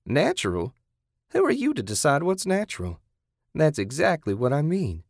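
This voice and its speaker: A man's voice: on the high side, wildly swooping pitch, moderate pace, no room sound, voice pushed up, full character voice. A man performs a cruel woman from a horror audiobook, the voice lifted well up. She is folksy and self-righteous, sneering as she lectures with syrupy condescension. The sound is dry and close. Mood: scornful preaching.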